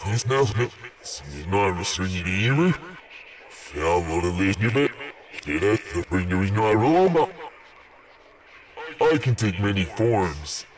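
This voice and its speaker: A man's voice: low voice, lively intonation, far from the mic. A man playing an ancient, haughty demon commander speaking cryptically and with menace in an audio horror drama. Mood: menacing, haughty. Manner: slow, ominous, haughty.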